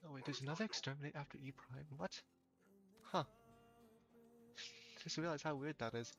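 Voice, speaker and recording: Gravelly man chatting while gaming. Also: a little high, normal speed, fairly bright tone. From Hong Kong. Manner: chatty, laughs often, restarts words. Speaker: game streamer. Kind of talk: casual gameplay chat with viewers.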